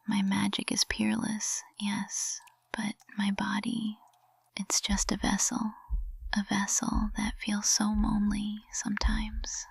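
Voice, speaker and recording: A woman's voice: medium pitch, completely flat delivery, no echo, full character voice. A woman playing a composed witch professor turned tender and possessive, whispering intimately in an ASMR roleplay, close to the microphone. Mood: vulnerable, confessing. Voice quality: breathy whisper. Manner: slow, hushed, intimate delivery.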